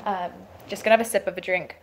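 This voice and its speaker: A woman's voice: mid-range voice, steady tone, fast, a little hiss or hum. Woman with a sore throat, giving advice live. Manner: casual, advisory, many fillers and restarts. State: sore throat, losing her voice. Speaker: holistic health host. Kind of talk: live Q&A, reading and answering viewer questions. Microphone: webcam or phone livestream.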